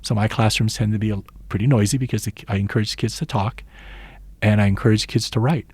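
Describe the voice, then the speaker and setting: A man's voice: fairly low pitch, fairly even intonation, quick pace, darkish tone, slightly rough, dry recording. Earnest man in his sixties recalling. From Philippines. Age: 60s. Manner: earnest, storytelling, thoughtful. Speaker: education professor and interviewee. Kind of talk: reflective interview answers.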